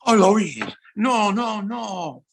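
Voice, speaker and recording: A man's voice: high-pitched, lively intonation, extremely slow, gravelly, slight background noise, full character voice. A man playing an ardent, tender young French king in a courtly, theatrical audio drama style.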